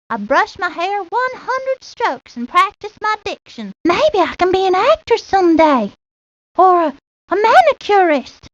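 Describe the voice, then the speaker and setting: Casting: vain little Southern belle, extremely high and lively, prissy, for a kids' video game. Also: female voice, no room sound, exaggerated voice. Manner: prissy, self-admiring. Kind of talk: scripted video game character lines. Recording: dull, lo-fi 1990s game audio.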